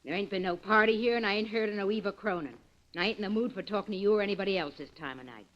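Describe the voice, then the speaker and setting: A woman's voice: mid-range voice, rapid-fire, natural voice. Radio drama role: actress plays surly, suspicious woman, curt and folksy, ungrammatical. Muffled, hissy vintage sound. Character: surly, suspicious woman answering her door late at night. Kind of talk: old-time radio drama acting.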